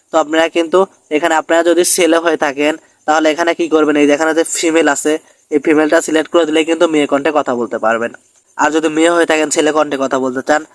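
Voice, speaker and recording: Very fast-talking man, tutorial host. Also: a little high, dry recording. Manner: repeatedly checks in with listeners. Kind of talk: step-by-step screen tutorial narration.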